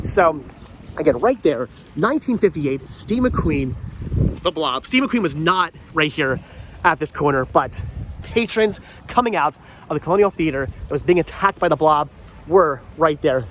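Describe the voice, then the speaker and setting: A man with a stuffy, congested voice from a cold, chatting enthusiastically as he walks outdoors on a rainy town street and films himself. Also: a little high. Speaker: vlogger. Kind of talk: walking tour vlog. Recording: light street noise.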